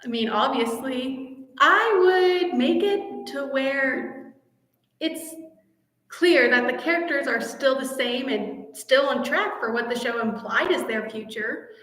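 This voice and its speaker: A woman with a fairly high voice hosts a casual live Q&A for fellow fans. She talks with gushing enthusiasm, chatting directly to her viewers. The room is very echoey, leaving a long reverb on her voice. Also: slow, a bit bright. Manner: gushing, enthusiastic fan talk. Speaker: host of a fan channel.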